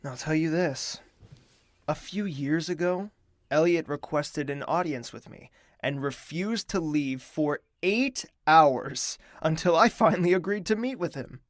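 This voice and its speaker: An actor playing a young male prince who is thoughtful and reserved, speaking in a measured, formal and courteous way. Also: a little high, no room sound, clean studio sound, close to an everyday voice. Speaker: narrator and lead character. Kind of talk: audio drama acting.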